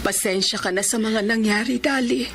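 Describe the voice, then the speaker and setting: Woman acting high, emotional elderly Filipino mother. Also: normal speed, background noise, lightly acted. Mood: lonely, sad. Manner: emotional, melodramatic. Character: betrayed elderly wealthy adoptive mother, lonely and indignant. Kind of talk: Filipino radio drama acting. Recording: music bed behind the voice.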